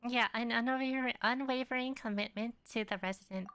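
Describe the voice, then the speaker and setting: Bright-voiced woman musing over a game. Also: on the high side, a little fast, clean tone, no echo, soft background music. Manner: musing, hesitant. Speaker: game streamer. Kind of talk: casual gameplay commentary.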